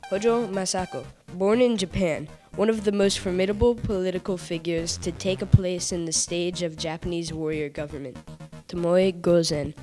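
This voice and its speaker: A woman with a fairly low voice reads narration from a paper list in a steady, plain way. Faint music runs quietly underneath her. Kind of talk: read-aloud narration.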